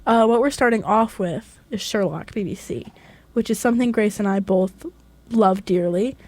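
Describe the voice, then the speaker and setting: A woman's voice: medium pitch, normal speed, darkish tone. A young woman from Tennessee with a light Southern accent, co-hosting a college radio chat show in a giggly, chatty way. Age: young adult. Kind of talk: casual chat.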